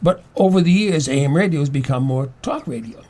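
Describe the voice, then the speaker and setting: An older man talking as a studio guest in an interview, explaining things haltingly with many stammered, repeated words. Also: fairly high pitch, animated, warm tone. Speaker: studio guest, playwright and former teacher. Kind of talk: conversational interview.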